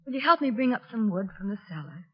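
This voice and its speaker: An actress playing a gentle, fretful and frail wife in a 1940s radio drama, earnest and theatrical. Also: female voice, medium pitch, sing-song, fast talker, natural voice. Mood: pleading. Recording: dull, lo-fi 1940s radio recording.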